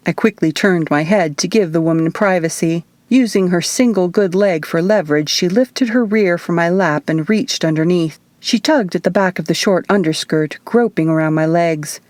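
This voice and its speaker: Lower-voiced woman narrating fiction. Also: normal speed, few pauses, darkish tone, no echo. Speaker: author reading own audiobook. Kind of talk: scripted first-person fiction narration.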